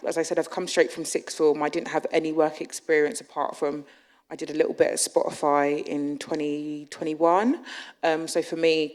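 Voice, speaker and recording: A woman's voice: low voice, a little mellow. A young woman apprentice giving a chatty talk to an audience, with frequent ums. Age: young adult.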